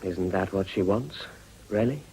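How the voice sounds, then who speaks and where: An actor with a British accent playing an urbane, stoic politician, slightly husky, courteous and understated in a restrained drama style. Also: male voice, deep voice, a little slow, darkish tone, rough recording. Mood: wry resignation. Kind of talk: restrained television drama acting.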